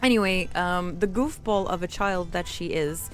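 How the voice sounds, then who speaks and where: A woman's voice: fairly low pitch, normal speed, natural voice. Woman putting on a playful New mafia don lady. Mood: amused, animated. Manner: animated, playful, rambling. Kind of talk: role-play live stream chat. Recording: music playing behind the voice. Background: music.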